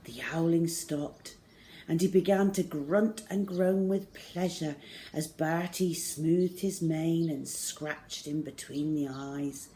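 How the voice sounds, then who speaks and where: A middle-aged woman reading a children's novel aloud to schoolchildren in a measured, deliberate way. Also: low-pitched, warm tone. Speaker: storyteller for schoolchildren. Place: small plain room indoors.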